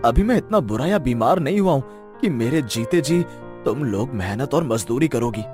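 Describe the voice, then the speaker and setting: A man's voice: medium pitch, expressive, normal speed, music in the background, lightly acted. A man voicing stern, indignant village men in a cartoon family story. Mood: stern, protesting. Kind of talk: acted cartoon story dialogue.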